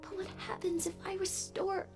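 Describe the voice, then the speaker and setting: A woman's voice: extremely high pitch, level tone, normal speed, over music. A woman voicing a worried young female pony princess who doubts herself. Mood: anxious.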